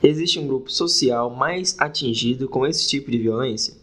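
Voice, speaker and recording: A man's voice: medium pitch, moderate pace, pushed and bright. A man presenting a podcast from a script, formal and orderly as he asks questions. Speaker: podcast host, interviewer.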